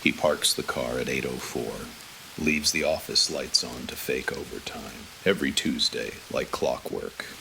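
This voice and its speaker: An extremely deep, gravelly noir avenger speaks slowly in terse, menacing phrases. He is a cold, brooding vigilante from a horror audio drama, with clear noise behind him. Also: full character voice. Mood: cold, grim.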